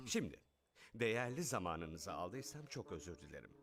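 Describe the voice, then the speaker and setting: A man's voice: deep voice, sing-song, moderate pace, over music. A man voicing a kind, principled, dignified wealthy father in a dubbed children's cartoon. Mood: stern.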